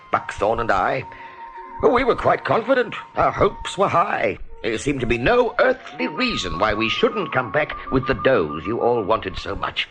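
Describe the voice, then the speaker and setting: An actor playing a weary, dignified older rabbit officer in a radio play, formal and earnest, with some stammering. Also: male voice, medium pitch, poor audio. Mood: resigned.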